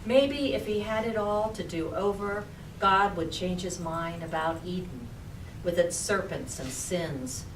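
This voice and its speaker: A woman recites her poem at a live reading, her voice a little low and her phrasing slow and deliberate. The recording is roomy and distant, with clear background noise. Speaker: poet reading own poem. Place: public library reading room.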